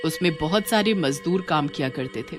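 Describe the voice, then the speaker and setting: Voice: mid-range voice, expressive, normal speed, music in the background. A narrator reading a scripted cartoon horror story.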